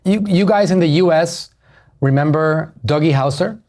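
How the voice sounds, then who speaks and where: A man's voice: fairly high pitch, moderate pace, darkish tone. A middle-aged man with a Puerto Rican accent, a marketer hosting a podcast, giving an emphatic motivational business talk that repeats key phrases and asks the listeners questions. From Puerto Rico.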